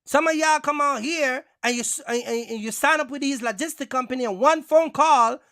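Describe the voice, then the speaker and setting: Casting: male vlogger, high voice, dry recording, casual streetwise storyteller, emphatic and repetitive. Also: brisk, fairly bright tone, dry recording. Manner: emphatic, repetitive, addresses listeners directly. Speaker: box truck owner-operator and vlogger.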